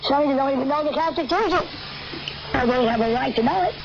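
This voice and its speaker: An older American woman preaches a rambling end-times sermon in a high-pitched voice. She is emphatic and repetitive, halting between phrases. It comes over shortwave radio, muffled and clearly noisy. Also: animated.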